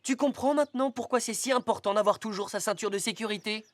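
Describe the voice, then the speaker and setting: A woman's voice: a little high, fast talker, no room sound, natural voice. A woman voicing a responsible, caring rescue car hero in a dubbed children's cartoon, instructive and encouraging. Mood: gently stern. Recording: music behind the voice.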